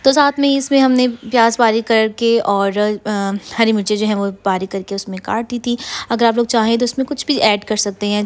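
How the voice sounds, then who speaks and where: A woman, casually narrating a home cooking vlog in a rambling way, with frequent fillers and soft laughs. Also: medium pitch. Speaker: vlogger. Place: home kitchen.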